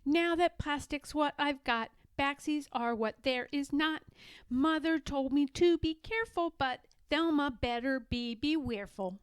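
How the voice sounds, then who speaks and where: A woman voicing a sly, firm young girl in a storybook, speaking with deliberate emphasis. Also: sits high, no echo, studio quality, pitched above the performer's own voice. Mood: singsong, smug. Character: young girl, firm, sly, singsong, standing her ground.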